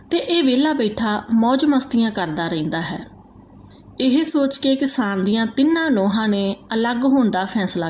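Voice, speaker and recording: A woman reading out a moral folk tale as a storyteller, in a steady, even manner. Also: fairly high pitch, a little hiss or hum.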